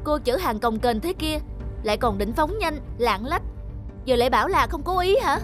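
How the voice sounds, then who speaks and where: Firm, high-voiced young businessman voiced by a woman. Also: expressive, normal speed, faint music behind. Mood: indignant. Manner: firm, lecturing, matter-of-fact. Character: stern but kind-hearted young businessman. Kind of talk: cartoon voice acting.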